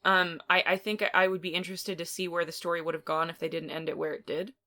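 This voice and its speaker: A woman's voice: on the low side, little pitch movement, fast talker, bright, forceful tone. A woman co-hosting a podcast, chatting casually as she reviews films. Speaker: podcast co-host. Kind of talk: casual film review chat.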